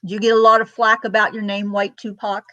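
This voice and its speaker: A woman's voice: medium pitch, flat delivery, quick pace, dry recording. A middle-aged woman chatting casually on a home live stream, rambling with many ums and greeting viewers by name. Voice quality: loud voice. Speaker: vlogger.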